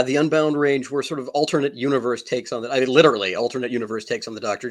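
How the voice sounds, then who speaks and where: Male panelist with a mid-range voice, conversational and animated, reworking his sentences as he goes. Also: medium pitch. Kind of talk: casual panel discussion.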